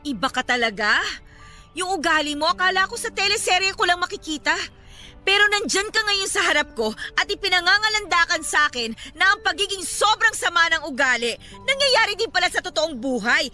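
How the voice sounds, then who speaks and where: A woman's voice: very high-pitched, lively intonation, fairly quick, pushed and bright, full character voice. A woman playing a desperate, angry young mother in a Filipino radio drama, heated, sarcastic and emphatic with a Filipino accent. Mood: bitter, sarcastic. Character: desperate, angry young mother confronting her cruel rival. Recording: dramatic music bed behind the voice.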